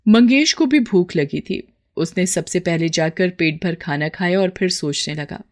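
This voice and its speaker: A woman's voice: on the low side, animated, a little slow. A woman storyteller reading a scripted moral tale as narration.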